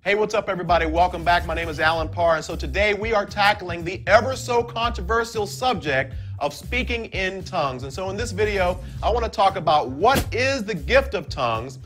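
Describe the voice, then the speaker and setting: Energetic male video teacher with a high, swooping voice; studio sound with faint music. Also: brisk, few pauses. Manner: animated, expressive delivery. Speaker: YouTube Bible teacher.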